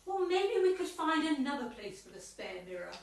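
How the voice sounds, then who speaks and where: Lady playing bossy prim club chairwoman, high voice, radio comedy, far off in an echoey room. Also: big pitch swings, fairly quick, crowd noise. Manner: prim, officious, pointed. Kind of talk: amateur radio play comedy acting. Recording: roomy, echoey, distant home recording.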